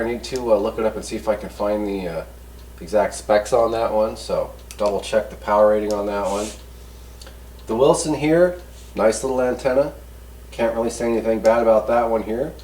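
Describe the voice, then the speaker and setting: A man's voice: mid-range voice, a little mellow. A man giving a casual hands-on product review as a host, talking in a folksy, rambling, easygoing way. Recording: roomy echo, distant mic, background noise.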